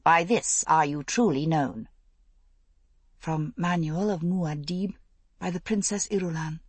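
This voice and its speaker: A woman's voice: low voice, a little slow, husky, dry recording. A woman voicing a grave princess who recites an epigraph in an audiobook. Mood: solemn. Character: solemn princess reciting an epigraph from her writings.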